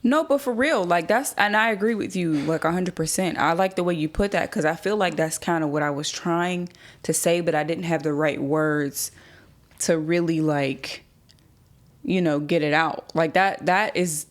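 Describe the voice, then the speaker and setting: A young woman, a podcast guest in casual conversation, agreeable and quick to laugh. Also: on the low side. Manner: agreeable, laughs easily, mock-quotes herself.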